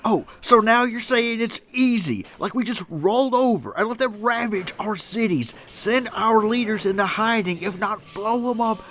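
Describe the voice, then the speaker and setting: An actor playing a hot-tempered, curt, sarcastic military general in an audio drama. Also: male voice, high-pitched, normal speed, hardly any pauses, fairly bright tone. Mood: angry, sarcastic.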